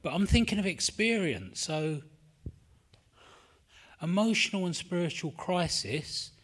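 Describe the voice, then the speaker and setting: A man's voice: sits high, very animated, unhurried, a bit bright, breathy edge. A middle-aged man from London with a London accent, sharing his story at a recovery meeting in a rambling, candid way, swearing freely and admitting he is nervous. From England. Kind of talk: personal share at a support meeting. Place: meeting room.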